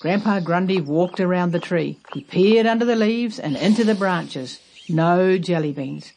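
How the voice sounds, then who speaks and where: A woman narrating a children's story aloud as a storyteller, expressive and emphatic. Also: lowish voice, measured pace, a little hiss or hum, low-quality recording.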